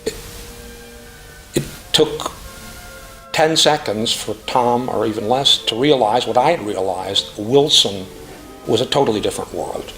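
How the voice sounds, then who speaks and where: A middle-aged man speaking earnestly and emphatically in a reflective interview testimonial, phrasing deliberately. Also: medium pitch, a bit bright, faint music behind, poor audio. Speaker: classical music professional giving a testimonial.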